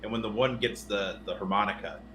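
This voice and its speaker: A man's voice: fairly low pitch, steady tone, brisk, bright, forceful tone. A man in his thirties co-hosting a podcast, chatting casually about films and often repeating words as he goes. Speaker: podcast co-host. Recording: roomy echo, faint noise.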